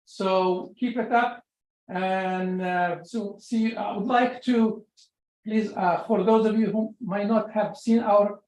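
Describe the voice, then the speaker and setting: Halting seminar host, medium pitch. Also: fairly even intonation, a little mellow, poor audio. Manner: halting, repetitive, word-searching delivery. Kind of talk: informal seminar address.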